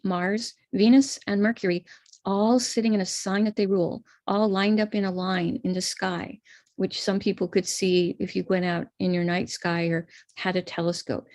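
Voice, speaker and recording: A woman's voice: mid-range voice, flat delivery, normal speed, darkish tone, no room sound. An American woman, an astrologer, patiently talking viewers through a chart step by step before leading a live-streamed ritual.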